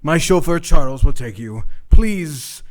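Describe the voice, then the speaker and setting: A man's voice: fairly high pitch, animated, a little mellow. An actor playing a formal, guarded, well-to-do father hiring a detective in a noir radio play. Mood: reluctant. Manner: formal, measured.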